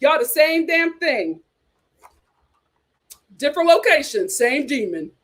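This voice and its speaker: Host: woman, slightly high voice, sassy and animated, slangy live-chat commentary. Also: takes it slowly. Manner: uses casual slang like "child" and "y'all". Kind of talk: casual chat.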